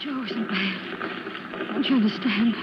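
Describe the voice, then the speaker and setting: Casting: dazed pleading wife, high and anguished, muffled noisy vintage radio recording. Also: female voice, slow. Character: dazed, distressed, loyal wife of a gambler. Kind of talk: old-time radio drama acting.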